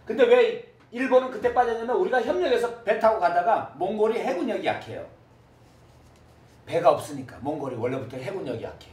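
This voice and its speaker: A man teaching a class, with a lively manner, repeating words for students to echo. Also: sits high. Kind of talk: classroom lecture. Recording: echoey room from a distance.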